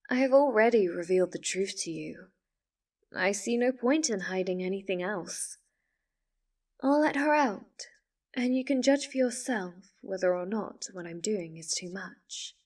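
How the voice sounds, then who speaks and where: Woman acting a slow, formal, possessive fox spirit. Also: mid-range voice, animated, a little mellow, far from the mic. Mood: composed, cold. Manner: formal, measured, composed wording. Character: female fox spirit, polite, obsessively devoted, quietly menacing. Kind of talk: ASMR roleplay acting.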